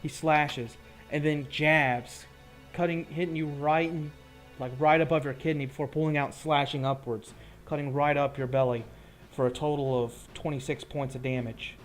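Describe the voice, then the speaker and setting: A man's voice: a little high, fairly even intonation, normal speed, faint music behind. A man acting as game master, narrating a tabletop roleplaying fight in vivid, gory detail and breaking off for rules talk.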